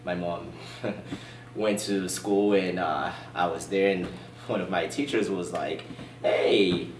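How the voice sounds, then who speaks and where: A middle-aged man with a mixed Nigerian and British accent, telling his story as an interview guest in a relaxed, easygoing way, with many fillers. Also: sits low, slow. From born in Boston, raised in Nigeria. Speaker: gym owner, guest. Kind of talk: casual interview. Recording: roomy distant sound in a gym.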